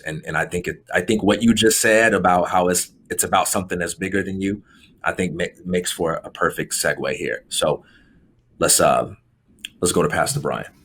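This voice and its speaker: A male podcast host introducing a clip in a relaxed, friendly way with some fillers. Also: deep voice, slightly rough. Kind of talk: casual chat.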